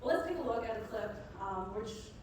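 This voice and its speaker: A woman co-founder giving a rehearsed startup pitch to present a product. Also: animated, brisk. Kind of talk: rehearsed demo-day pitch. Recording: echoey hall from far off, noisy.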